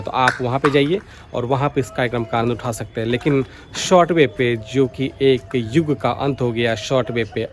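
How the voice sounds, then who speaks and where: A man giving informal, explanatory vlog commentary, going back over his points as he speaks. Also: mid-range voice. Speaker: radio hobby vlogger. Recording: clearly noisy background.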